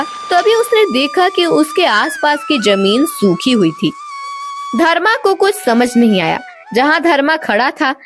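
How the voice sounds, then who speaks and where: A woman narrating a scripted children's story as an expressive storyteller. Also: sits high, background noise. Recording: music bed behind the voice.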